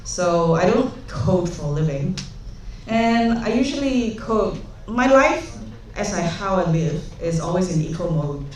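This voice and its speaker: A woman's voice: on the low side, expressive, a little slow, a little mellow. Woman from Singapore, young adult, humorous conference speaker; distant, roomy hall sound. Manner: humorous, chatty storytelling with many fillers. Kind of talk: conference talk with anecdotes. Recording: echoey hall, speaker far from mic.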